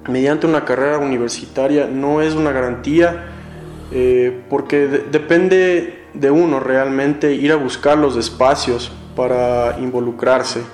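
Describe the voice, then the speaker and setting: A man's voice: medium pitch, a little mellow. A young man, a student with an Ecuadorian accent, answering interview questions off the cuff. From Quito, Ecuador. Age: young adult. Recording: echoey room.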